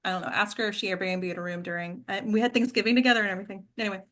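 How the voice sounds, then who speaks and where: A woman's voice: mid-range voice, fairly even intonation, racing, fairly bright tone. A woman hosting an acting class discussion in a casual, informal and encouraging way.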